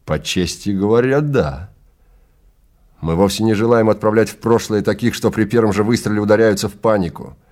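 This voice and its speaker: A man voicing a blunt, provocative time-travel agency clerk in an audio story, forceful and salesman-like. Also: lowish voice, moderate pace, lightly acted. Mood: blunt, goading.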